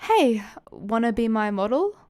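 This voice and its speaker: A woman voicing a feisty, easily flustered young woman, animated and affectionately scolding, in an audio fan fiction. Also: on the high side, a little slow, no echo, clean studio sound. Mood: teasing.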